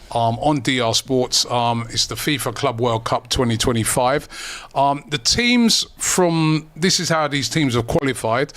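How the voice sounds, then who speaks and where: A man hosting a casual football chat, relaxed and full of fillers. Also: fairly high pitch. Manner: relaxed, lots of fillers and restarts. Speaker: host.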